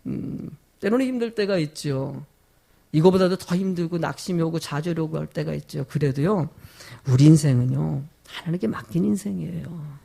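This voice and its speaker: A male pastor delivers a sermon in a high-pitched voice with a heavy gravelly creak, strained by a cold. He leans hard on key phrases, stretching them out, and keeps turning to his congregation with rhetorical questions. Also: mellow. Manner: emphatic, with drawn-out stressed phrases. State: sore throat from a cold.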